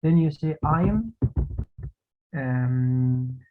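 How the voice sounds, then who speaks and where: A woman with an Egyptian Arabic accent tutoring English one-on-one, repeating phrases slowly for drills. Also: extremely low pitch, steady tone, heavy background noise. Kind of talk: one-on-one lesson. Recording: tinny call audio.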